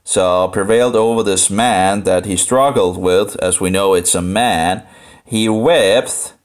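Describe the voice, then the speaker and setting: A Danish man with a Danish accent thinks aloud through informal Bible commentary, halting and rambling as he repeats phrases. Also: deep voice. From Denmark. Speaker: live streamer studying the Bible.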